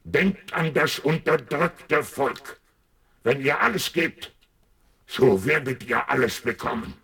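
An actor playing a mysterious, solemn underground commander giving impersonal, measured orders in a husky, blurred voice, for a German science fiction radio drama. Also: male voice, mid-range voice, lively intonation, pushed and bright, exaggerated voice. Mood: solemn, commanding.

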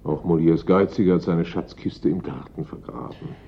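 An actor in a German radio drama playing a detective's wry confidant, with a dry, ironic, understated manner. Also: male voice, steady tone, moderate pace, vocal fry. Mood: wry musing. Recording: dull old radio recording, faint rumble.

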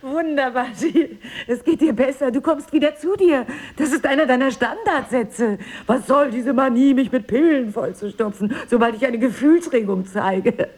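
A husky, insistent wife. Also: female voice, high voice, moderate pace, hardly any pauses, a little mellow. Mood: delighted. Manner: insistent, teasing, intimate. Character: composed wife of amnesiac man, reassuring yet evasive. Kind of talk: intimate radio drama acting.

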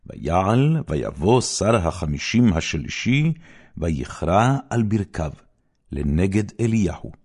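A man with a low voice reads holy scripture aloud as an audiobook narrator. His delivery is solemn and measured, with wide swings of intonation that give key phrases declamatory weight. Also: big pitch swings, darkish tone, slightly rough. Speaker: audiobook Bible reader.

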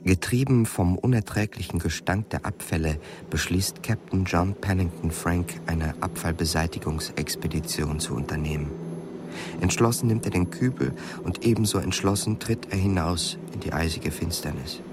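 A male narrator tells a radio drama story in a low voice. He is measured and composed, set against clearly audible background noise.

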